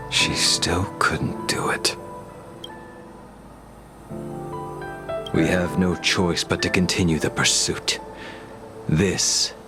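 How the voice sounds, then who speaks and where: A man voicing a grim, death-obsessed avenger in a video game, brooding and menacing. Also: extremely low pitch. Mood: cold, menacing. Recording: background music under dialogue.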